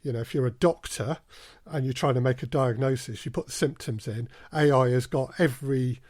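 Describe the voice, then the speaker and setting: A man's voice: mid-range voice, brisk, a little mellow, dry recording. Man explaining in a dry-sounding interview. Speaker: guest, audio drama producer. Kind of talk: casual podcast interview.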